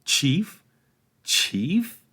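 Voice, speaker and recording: A man voicing a well-spoken, indignant gentleman hobbit in a storybook reading. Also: fairly high pitch, sing-song, very slow, breathy edge, voice pushed up, full character voice.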